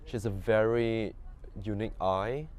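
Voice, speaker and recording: A man from Southeast Asia giving an interview as a film producer, measured and hesitant, repeating words for emphasis. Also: lowish voice, steady tone, a little mellow, a little hiss or hum. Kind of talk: interview talk.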